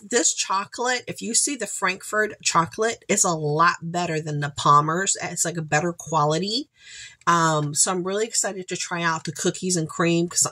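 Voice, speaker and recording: A congested woman in her forties chats through a store haul with rambling, upbeat asides, her voice sitting somewhat low for a woman. She talks to a webcam while seated in a room at home. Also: a bit bright, slightly rough. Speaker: haul vlogger, channel host. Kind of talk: casual haul chat to camera. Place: home room with shelves, indoors.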